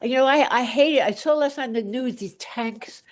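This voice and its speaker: A woman's voice: sits high, brisk. An older woman chatting casually in a group, blunt and rambling in the way she talks.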